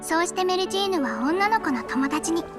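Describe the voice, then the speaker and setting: A woman voicing a gentle little fairy-like nurse in an anime game, speaking in a soft, composed, girlish way. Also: very high-pitched, expressive, brisk. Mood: wistful storytelling. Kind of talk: anime game dialogue and fairy-tale storytelling. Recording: music behind the voice.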